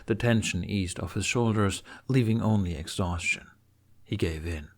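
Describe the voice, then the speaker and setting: Low-voiced man narrating a story. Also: moderate pace. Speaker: podfic narrator. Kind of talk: expressive scripted story narration.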